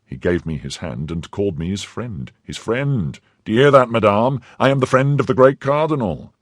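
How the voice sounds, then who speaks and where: A man voicing a pompous, cowardly middle-aged shopkeeper in his own low, husky voice. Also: animated, normal speed, darkish tone, no echo, studio quality, heavily acted. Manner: self-important, blustering. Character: pompous fifty-year-old mercer, cowardly and greedy.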